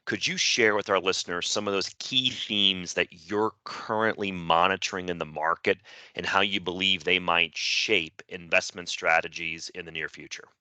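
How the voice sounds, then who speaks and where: Male co-host, deep voice, sharp forceful delivery, dry close recording. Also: fairly even intonation, moderate pace, smooth, dry recording. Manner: asks long framed questions, affirming. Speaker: podcast co-host. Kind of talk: conversational podcast interview.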